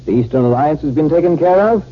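An actor playing a smooth, duplicitous army captain in a 1950s radio drama. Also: male voice, fairly high pitch, fast talker, lightly acted. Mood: deferential. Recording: muffled, hissy 1950s radio recording.

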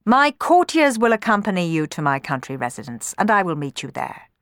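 Lady as a wry servant guy faking being a prince, storybook, sneaky asides and lofty talk, lively. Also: lowish voice, fairly quick, no echo, studio quality. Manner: conspiratorial whisper to his master, lofty in public. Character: wry male servant disguised as a grand prince.

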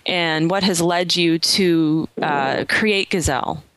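A woman's voice: lowish voice, slow pace, a bit bright, dry recording. A woman from the area, hosting an interview show and introducing a guest, chatty with fillers. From Boston area. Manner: friendly, with fillers. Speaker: podcast host.

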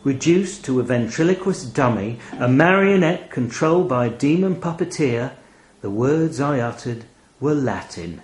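A man narrates a literary short story in the first person. His delivery is wry and dramatic, with lively intonation at a middling pitch, and the recording has an audible room echo. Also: normal speed, a little hiss or hum. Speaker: story reader. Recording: roomy, echoey voice recording.

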